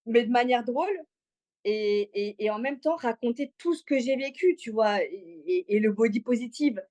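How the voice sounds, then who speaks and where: A woman's voice: fairly high pitch, darkish tone. A talkative woman from Congo, telling personal stories informally and with slang as an interview guest. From Congo, moved to Belgium aged six. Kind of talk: casual personal storytelling in an interview.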